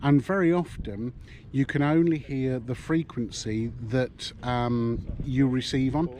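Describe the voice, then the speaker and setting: A man casually explaining a handheld marine radio, talking in a relaxed, halting way with many ums. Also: mid-range voice. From United Kingdom. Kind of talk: casual product demonstration. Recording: loud background noise.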